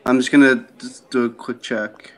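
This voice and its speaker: A man's voice: medium pitch, fairly even intonation, normal speed, fairly bright tone. A man talking on a live stream in an easygoing, joking way, with frequent "um"s. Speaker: community team member. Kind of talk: casual live-stream chat.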